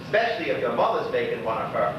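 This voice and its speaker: A man's voice: mid-range voice, big pitch swings, quick pace, close to an everyday voice. Lonely old Bermudian widower, played by a male actor: folksy stage gossip, swooping delivery, distant and heavily echoing recording. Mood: chatty, nostalgic. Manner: rambling, exclaiming, talking to unseen listeners. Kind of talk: stage monologue in dialect. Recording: very echoey stage, distant mic, noisy, dull.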